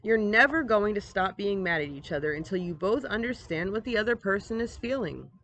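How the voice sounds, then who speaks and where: A patient storybook mother, read by a woman, concerned and gently reasoning. The voice sits at a medium pitch, with faint outdoor noise. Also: expressive, a little fast. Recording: outdoor ambient noise, device microphone.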